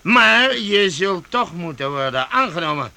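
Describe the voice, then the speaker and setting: Hearty, bright-voiced vagrant band leader. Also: male voice, sits high, very animated, vocal fry, full character voice. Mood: hearty, commanding. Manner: hearty, bossy, halting with interjections. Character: rough, jovial male leader of medieval vagrant band. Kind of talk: Dutch radio drama acting.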